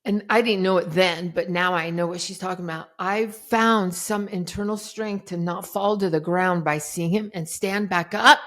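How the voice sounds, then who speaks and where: A middle-aged woman, a life coach guest on a podcast, telling her story in a lively, dramatic way. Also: on the low side, normal speed, hardly any pauses. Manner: animated, dramatic retelling with acted-out quotes. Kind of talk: casual interview storytelling.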